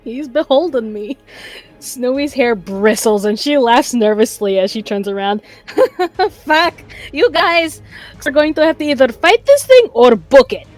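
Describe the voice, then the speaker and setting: A woman playing a tabletop fantasy game, talking in an animated in-game style. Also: sits high, unhurried, soft background music.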